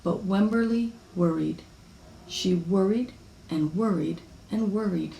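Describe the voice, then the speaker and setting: A woman reading a children's picture book aloud in an expressive style. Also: medium pitch, slow pace, gravelly, a little hiss or hum. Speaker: storybook reader. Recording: echoey room.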